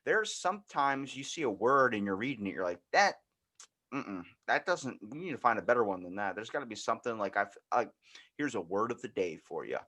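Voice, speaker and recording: A man's voice: fairly low pitch, big pitch swings, a little fast, sharp tone. Informal, rambling man hosting a chat. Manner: informal, rambling, acts out imagined dialogue. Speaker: podcast host. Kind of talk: casual chat.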